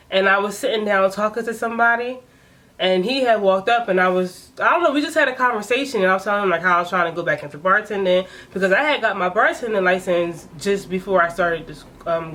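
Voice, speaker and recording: A woman's voice: medium pitch, brisk. Chatty woman in her twenties telling a story. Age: 20s. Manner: animated, laughing, chatty. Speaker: vlogger. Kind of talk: casual story time.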